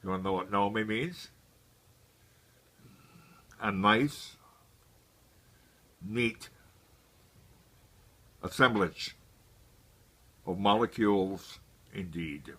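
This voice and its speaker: A man in his seventies from Hawaii recalls his life in a very low register with a bright, forceful edge. He speaks deliberately, halting often and leaving long pauses as he remembers. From Hawaii, United States. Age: 70s. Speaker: interviewee, grandfather. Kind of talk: unscripted family reminiscence.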